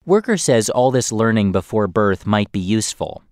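Male science narrator, low voice, dry studio sound, clear explainer delivery. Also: animated, moderate pace, a little mellow, no echo. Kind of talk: scripted narration.

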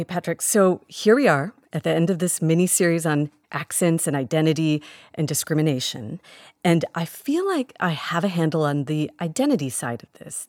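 A woman's voice: low-pitched, dry recording, studio quality. A woman co-hosting a podcast, asking thoughtful questions in casual chat. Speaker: co-host.

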